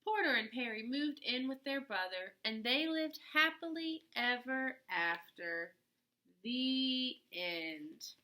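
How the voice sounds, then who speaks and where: A woman reads a children's picture book aloud. Her voice is bright and a little high. She takes her time, telling the story expressively with dramatic, emphatic pauses. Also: lively intonation.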